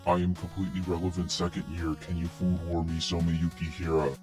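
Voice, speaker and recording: Man doing a deep, flat student challenger. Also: fairly quick, soft background music, close to an everyday voice. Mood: flatly self-important. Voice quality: deep put-on voice.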